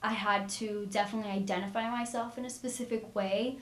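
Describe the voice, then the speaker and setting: A woman's voice: mid-range voice, fairly even intonation, fairly quick. A young woman in her twenties, a college student, answering interview questions in a chatty way, full of "like". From Miami, US. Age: 20s. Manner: chatty, lots of "like". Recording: roomy.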